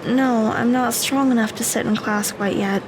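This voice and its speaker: A woman playing an earnest, apologetic teenage high school girl in an audio drama. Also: steady tone, moderate pace, background noise, natural voice.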